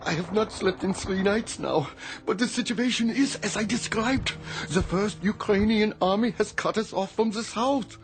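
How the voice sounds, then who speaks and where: An actor playing an exhausted, despairing wartime officer in a radio drama, speaking gravely, formally and urgently. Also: male voice, high voice, moderate pace.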